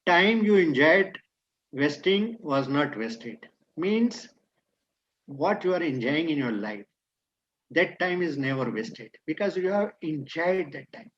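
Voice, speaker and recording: A man's voice: on the high side, expressive, slow pace, a bit bright. Man, Indian English accent, Hindi native, motivational speaker, quotes sayings then explains, slightly muffled recording. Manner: reads out maxims then explains them, lecturing. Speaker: guest speaker.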